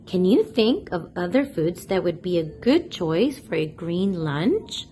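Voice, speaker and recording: A woman reading a picture book aloud for young children, speaking deliberately and addressing her listeners directly. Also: fairly low pitch, big pitch swings, dark tone, slight background noise. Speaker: host of a children's read-aloud channel.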